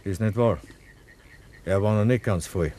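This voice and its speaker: A man's voice: on the low side, unhurried, mellow, lightly acted. An actor playing a terse, reluctant village man in a 1950s Bavarian dialect radio play, answering in a curt, guarded way. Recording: 1950s radio recording, faint noise.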